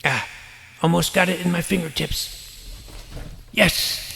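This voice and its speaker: An actor playing a nerdy middle-school boy who narrates his own superhero exploits in a dry, self-important, deadpan way. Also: sits high, takes it slowly, creaky, a little hiss or hum, heavily acted. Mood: earnest. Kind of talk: scripted audio drama narration.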